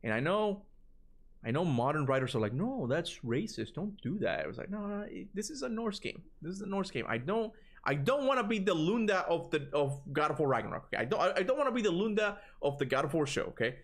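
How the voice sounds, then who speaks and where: A man with a Cuban accent chatting casually on a livestream, rambling and animated, answering chat and swearing now and then. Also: a little high, quick pace. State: tired voice from long talking. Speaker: gaming streamer answering chat.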